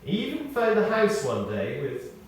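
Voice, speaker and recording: A British man reads a memorial tribute in a large, heavily reverberant space, picked up some way from the microphone. His delivery is measured storytelling with animated rises and falls, pausing and leaning on key words. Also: sits high. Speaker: eulogist reading a tribute. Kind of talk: scripted memorial tribute with humorous anecdotes. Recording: very echoey, distant mic, faint noise.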